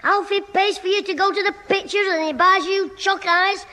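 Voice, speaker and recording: Very high-pitched cheeky Northern schoolboy. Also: male voice, fairly even intonation, moderate pace, bright, forceful tone, heavily acted. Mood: grumbling. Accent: Northern English. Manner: cheeky, wisecracking. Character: cheeky mischievous schoolboy, quick with gags. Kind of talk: 1960s radio sitcom acting. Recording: old hissy, reverberant 1960s radio recording.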